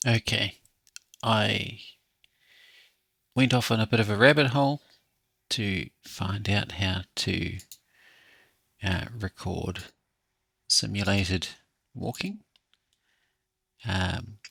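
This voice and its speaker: A man with a gravelly, husky voice talking casually to himself as he hosts a think-aloud software tutorial. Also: lowish voice, steady tone, slow, pauses often, dry recording, clean studio sound. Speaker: app developer hosting a coding session.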